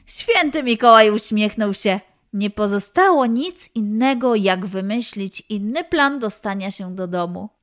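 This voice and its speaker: A woman narrating a scripted children's story in an expressive, deliberate manner. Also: mid-range voice.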